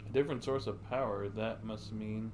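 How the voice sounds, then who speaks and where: A man's voice: fairly low pitch, steady tone, moderate pace, close to an everyday voice. A man playing an earnest young traveler hero in an anime game, with a questioning manner. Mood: earnest, wary. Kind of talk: anime game dialogue acting. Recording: clear background noise.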